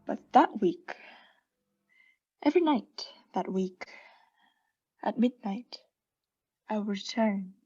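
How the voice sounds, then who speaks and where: A woman playing a mad condemned murderess in a radio play, intense and halting, often sinking into breathy hushed tones as she insists she is sane. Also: mid-range voice, animated, lo-fi sound, soft background music. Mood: hushed, conspiratorial. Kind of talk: theatrical radio play monologue.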